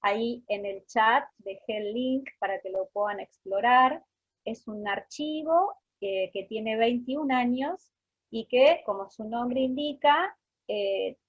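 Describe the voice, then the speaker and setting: A woman with an Argentine accent giving an informal academic lecture, pausing often, over dull online call audio. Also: a little high, no room sound. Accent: Argentine Spanish. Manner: deliberately slowed, with pauses. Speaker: guest lecturer, academic researcher.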